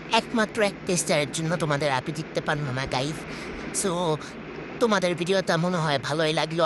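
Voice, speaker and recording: Female gaming host, Bangladeshi accent, slightly low voice run through a voice changer, chatty and exclamatory, clear background noise. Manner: chatty, exclamatory, self-talking, repeats words. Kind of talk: casual gameplay commentary.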